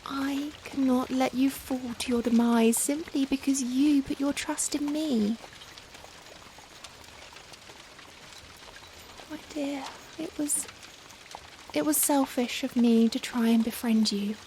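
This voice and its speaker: Woman acting a slow, high-voiced, soft young vampire. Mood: grave, tender. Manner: hesitant, formal, emotional, pleading. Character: young charming Victorian vampire, anguished, tender, self-reproaching. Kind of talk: softly spoken ASMR roleplay acting. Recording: steady rain noise behind. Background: rain falling on a surface.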